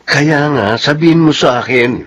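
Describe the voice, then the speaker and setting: An actor playing an earnest, insistent boyfriend in a Filipino radio drama, with a Filipino accent. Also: male voice, fairly high pitch, normal speed, a bit bright.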